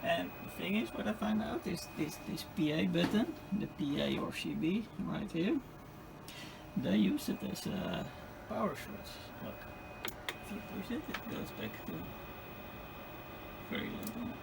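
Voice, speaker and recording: Slow, gravelly Dutch man tinkering. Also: high voice, big pitch swings, background noise. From Netherlands. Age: 40s. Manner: thinks aloud step by step, hesitant with fillers. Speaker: hobbyist repairing a CB radio. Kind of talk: hands-on repair vlog.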